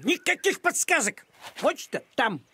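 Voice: high-pitched, very animated, unhurried, sharp tone, slight background noise, heavily acted. An actor voicing a proud, grumpy, bossy talking tomcat in a children's cartoon, huffy and pompous in manner. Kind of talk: animated children's cartoon acting.

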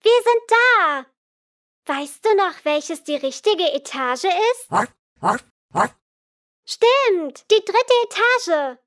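A woman's voice: extremely high pitch, animated, drawn-out words, pauses often, fairly bright tone, exaggerated voice. A woman voicing a cheerful, helpful little girl in an educational children's cartoon, speaking to young viewers in simple instructive phrases. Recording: music behind the voice.

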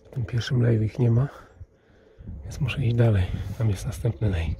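A man narrating as he walks through a snowy park, often dropping to a hushed whisper as he sneaks up on animals, recorded on the camera's mic. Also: mid-range voice, normal speed, faint music behind. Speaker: nature vlogger stalking wild boar. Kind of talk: first-person outdoor narration while walking. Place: snowy park outdoors in winter. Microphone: handheld camera mic, filming point of view.

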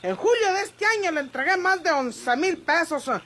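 A woman's voice: very high voice, lively intonation, moderate pace, fairly bright tone. An actress playing a spirited, plain-spoken young ranch woman in an old radio drama, forthright, with a Mexican accent. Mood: indignant. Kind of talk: Mexican golden-age radio drama acting.

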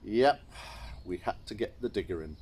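A man's voice: fairly low pitch, lively intonation, normal speed, a little mellow, a little hiss or hum. Wry English man telling a story outdoors. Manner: wry, understated, chuckling at his own mishaps. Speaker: chateau owner and vlogger. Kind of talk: casual storytelling to camera. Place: sunny garden courtyard outdoors.

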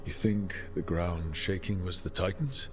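A man voicing a calm, decisive party leader in a fantasy video game, measured and matter-of-fact. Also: sits low, breathy edge.

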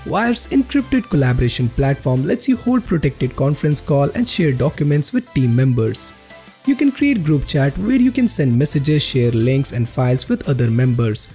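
A man's voice: fairly high pitch, warm tone. A man hosting a tech video, reading scripted narration in a sing-song delivery. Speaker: host of a tech review channel. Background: background music.

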